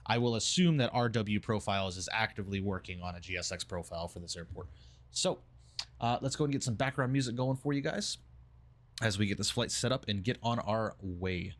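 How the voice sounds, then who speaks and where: A man's voice: on the low side, fairly quick. A man live-streaming a flight sim on a headset mic, slightly nasal and congested from a mild flu, upbeat as he greets chat and runs through cockpit setup. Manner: upbeat, reads out chat names and cockpit steps. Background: background music.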